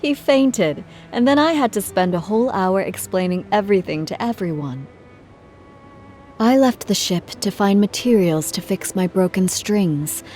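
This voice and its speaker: An actress playing a gentle, earnest traveling musician in a video game, speaking politely and in measured phrases. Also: female voice, medium pitch, animated, soft background music, natural voice. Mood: rueful. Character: gentle, earnest female traveling musician, composed but careworn. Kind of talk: video game cutscene acting.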